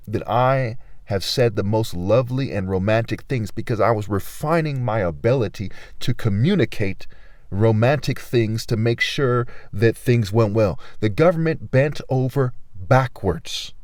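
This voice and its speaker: A man giving an angry, rambling monologue, swearing and swinging between low murmurs and loud outbursts. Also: no echo. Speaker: vlogger.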